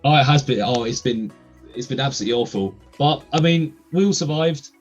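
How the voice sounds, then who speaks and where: A man's voice: mid-range voice, expressive, a little fast, muffled recording, soft background music. A young man hosting a casual podcast, chatty and full of ums and uhs. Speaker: podcast host.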